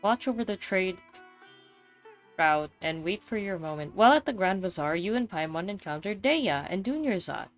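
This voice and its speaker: A woman's voice: mid-range voice, lively intonation, moderate pace. A woman giving casual commentary as she plays a video game, often thinking aloud absent-mindedly. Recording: faint game music behind. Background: video game music.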